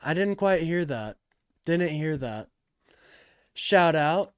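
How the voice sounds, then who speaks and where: A man's voice: a little high, lively intonation, slow, clear, resonant tone, dry recording, studio quality. A man hosting a live political call-in stream in a casual, joking way, with slang and swearing as he talks to his chat.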